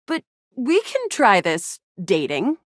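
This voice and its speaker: Brash, animated young space-soldier heroine. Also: female voice, mid-range voice, slow, dry recording, clean studio sound. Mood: matter-of-fact. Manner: brash, dramatic, self-assured. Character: cocky young female space soldier, action-game heroine. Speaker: video game voice actor. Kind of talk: video game character dialogue.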